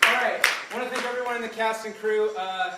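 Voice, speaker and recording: A man's voice: high-pitched, steady tone, a little fast. Male host in a very echoey hall. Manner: informal, off-the-cuff. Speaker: show host. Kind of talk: live closing thanks to cast and crew. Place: live show in a hall. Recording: very echoey hall, distant, loud crowd noise.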